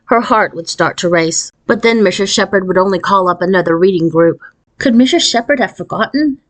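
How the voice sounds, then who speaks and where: A woman narrates a children's book in a mid-pitched voice. She reads as a storyteller, giving expression to scripted prose. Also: a little fast, low-quality recording. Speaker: storyteller reading a children's novel aloud.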